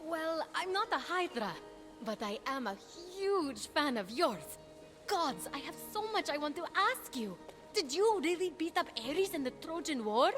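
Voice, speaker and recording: A woman playing an eager, plucky young mortal hero in a video game, excitable and earnest, with music behind her. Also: sits high, measured pace, exaggerated voice. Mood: starstruck. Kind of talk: animated video game voice acting.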